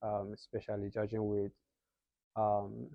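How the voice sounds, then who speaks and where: A man's voice: on the low side, monotone, measured pace, creaky, no echo. A young man from Nigeria with a Nigerian accent, a guest in a casual interview who explains things in an earnest way. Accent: Nigerian English. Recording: slightly muffled lo-fi sound.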